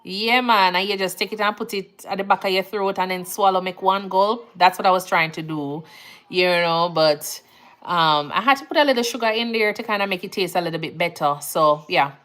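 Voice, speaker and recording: A woman's voice: fairly low pitch, a little fast. Chatty jamaican woman, laid-back. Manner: relaxed, chatty, laughs easily, Jamaican phrasing. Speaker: nurse livestream host. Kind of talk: casual livestream chat reading comments.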